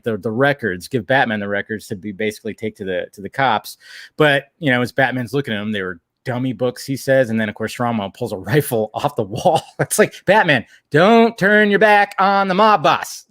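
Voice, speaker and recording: A man with a medium-pitched voice recaps a show as a podcast co-host. He talks casually and loosely, retelling scenes with quoted lines and the odd swear word. The sound is dry and close. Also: brisk, dry recording. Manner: informal, swears, rambling retelling with acted-out quotes. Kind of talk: casual chat, episode recap.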